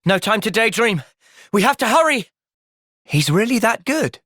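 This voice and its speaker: Young British male hero for a video game: earnest, thoughtful, lively pitch swings, dry close studio sound. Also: moderate pace, dry recording, full character voice. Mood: upbeat, resolute. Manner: earnest, polite. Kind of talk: video game cutscene dialogue.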